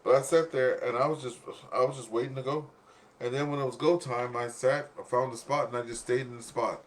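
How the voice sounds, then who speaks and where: A man's voice: mid-range voice, fairly even intonation, fairly quick. A man hosting an amateur radio net in casual chat, telling stories and acting out exchanges as he goes.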